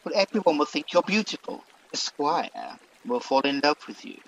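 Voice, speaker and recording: A man's voice: a little high, expressive, normal speed, fairly bright tone, long reverb tail, lo-fi sound, slight background noise. An actor playing a scheming, boastful Demon King villain in a radio pantomime, with a grandiose, theatrical delivery. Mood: coaxing.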